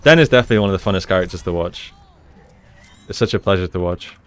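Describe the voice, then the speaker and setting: Male game coach, smooth lowish voice, explanatory and conversational, talking through gameplay analysis. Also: lively intonation, fast, a bit bright. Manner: explanatory, conversational, often checks with "right?".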